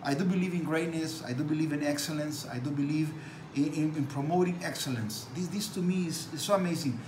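A man in his forties who learned English as a second language speaks in a fairly high voice, earnest and preachy, circling back to repeat his points. He talks to the camera from a small room that rings with echo, with steady background noise under him. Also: brisk. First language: not English. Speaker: dog breeder. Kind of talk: talking to camera.

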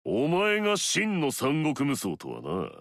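A man's voice: mid-range voice, wildly swooping pitch, unhurried, a bit bright, no echo, clean studio sound, full character voice. An actor playing an arrogant, fierce male warlord in a video game, swaggering and barking battle cries.

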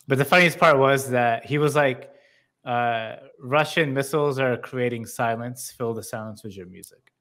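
Male co-host, middle pitch, casual and wry, sarcastic chat. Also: moderate pace, fairly bright tone. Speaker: show co-host. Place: indoors, on a video call stream.

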